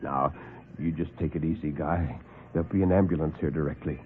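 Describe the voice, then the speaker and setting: A man's voice: a bass voice, fairly even intonation, brisk, breathy edge, voice pushed down. An actor playing a brash, slangy newspaperman who narrates with punchy tough-guy delivery in a classic radio drama. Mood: soothing. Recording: muffled vintage radio recording with music.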